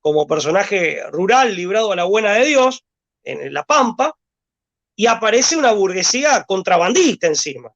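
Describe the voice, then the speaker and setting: Man, Rosario Argentine accent, high voice, dull lo-fi sound, rambling and hesitant. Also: big pitch swings, normal speed, a bit bright. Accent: Rosario Argentine Spanish. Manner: rambling, hesitant with repeated words and fillers. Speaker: guest. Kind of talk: discursive conversation.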